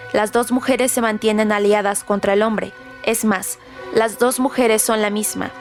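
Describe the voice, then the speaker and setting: A woman reading scripted literary trivia in a measured way, as a radio presenter. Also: mid-range voice. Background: background music.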